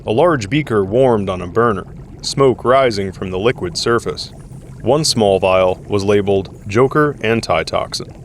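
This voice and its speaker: A man's voice: on the low side, slight background noise. A man reading dramatic scripted narration for an action audio drama.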